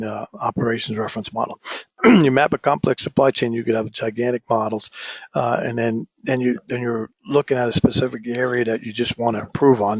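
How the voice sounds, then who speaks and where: Hoarse male lecturer with a cold. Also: medium pitch, no room sound. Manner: frequent restarts, asks "right?" often. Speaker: instructor. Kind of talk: recorded online lecture. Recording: dull, dry lo-fi recording.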